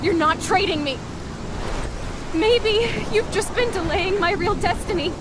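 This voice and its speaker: High-voiced young American punk woman, in a storm. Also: a little slow. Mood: pained, resolute. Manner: blunt, swearing, earnest. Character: tough, loving punk young woman saying farewell. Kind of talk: emotional video game drama acting. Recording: loud storm, rain and thunder behind. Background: rain, thunder, wind.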